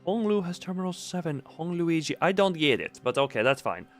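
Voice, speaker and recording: A male streamer chats casually through a live game in a slightly high voice. He rambles and revises what he says, with faint music behind him. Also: sing-song, a little fast. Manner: rambling, self-correcting.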